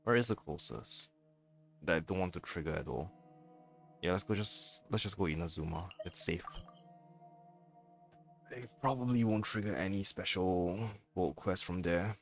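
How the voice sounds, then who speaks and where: A man's voice: low voice, moderate pace, gravelly, long pauses, faint music behind. A man giving casual let's-play commentary on a live stream, muttering to himself as he plays. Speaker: live-streaming gamer, host.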